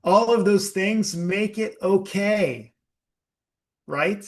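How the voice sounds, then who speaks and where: A man leading a live group workshop, talking slowly and emphatically and putting questions to his audience. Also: high-pitched. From United States. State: sweating. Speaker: workshop leader.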